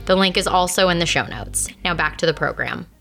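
A woman's voice: fairly low pitch, animated, fairly quick, creaky, music in the background. A woman reading a scripted sponsor message in an upbeat, friendly way. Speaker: ad reader.

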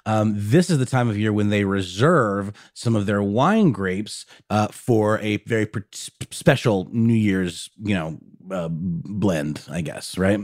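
A man's voice: lowish voice, measured pace, few pauses, darkish tone, no room sound, clean studio sound. A man with a Southern American accent, co-hosting a casual podcast and rambling with many fillers and restarts. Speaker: podcast co-host.

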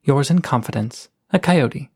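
A man's voice: medium pitch, expressive, unhurried, darkish tone, slightly rough. A man reading the florid, courtly letters of a bookish male coyote. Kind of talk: reading letters aloud from a story.

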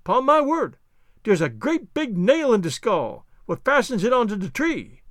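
High-pitched, folksy servant, eager and simple. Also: male voice, sing-song, unhurried, a bit bright, no echo, clean studio sound, full character voice. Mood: puzzled, eager. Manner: folksy nonstandard dialect speech. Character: simple, literal-minded male servant up a tree, eager and obliging.

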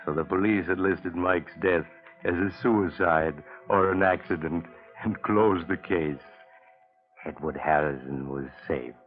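A tinny 1940s radio recording with some music behind. a low-voiced American storyteller, grave and mysterious, telling a crime tale in a measured, ominous way. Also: male voice. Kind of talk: 1940s radio acting, suspense narration.

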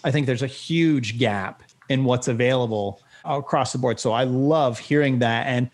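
A male podcast host at a middle pitch asks questions and reacts eagerly. He affirms his guest often and doubles his words as he gets going. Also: normal speed. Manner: enthusiastic, affirming, repeats words. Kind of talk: interview conversation.

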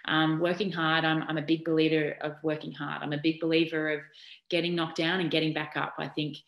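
Middle-aged Australian woman, low voice, chatty interviewee full of ums, echoey room. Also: brisk, creaky, lo-fi sound. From Newcastle, New South Wales, Australia. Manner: chatty and animated, many ums and restarts. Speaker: interviewee, former basketball player. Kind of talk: casual interview answers.

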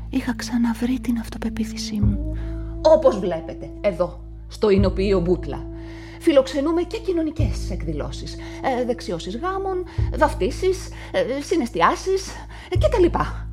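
An actress narrating a radio play in the first person as a nervous events manager, often dropping into confiding whispers. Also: female voice, a little high, dark tone. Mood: earnest. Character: nervous, talkative female events manager caught up in a murder.

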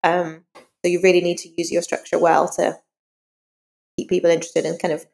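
A woman's voice: lowish voice, completely flat delivery, brisk, vocal fry, darkish tone. A woman novelist being interviewed, explaining her craft in an informal, conversational way.

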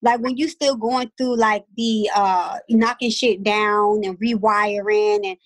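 A woman's voice: mid-range voice, steady tone, measured pace, rough recording. A woman in her thirties chatting as a guest, candid and slangy, often laughing as she tells stories. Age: 30s. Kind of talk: casual chat.

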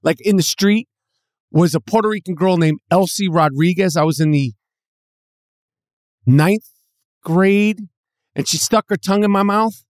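A woman's voice: fairly low pitch, darkish tone, no room sound, studio quality. Stammering New York woman, casual chat. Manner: halting, many restarts and stammers.

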